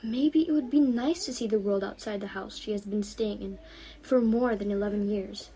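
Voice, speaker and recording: A woman's voice: mid-range voice, normal speed, mellow, poor audio. A fifteen-year-old young woman reading her own storybook aloud as narrator.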